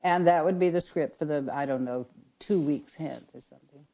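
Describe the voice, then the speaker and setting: An older woman reminiscing as a radio writer, telling anecdotes in an unhurried, chuckling way. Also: low-pitched, dark tone. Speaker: radio writer recalling her work. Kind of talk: spoken reminiscence.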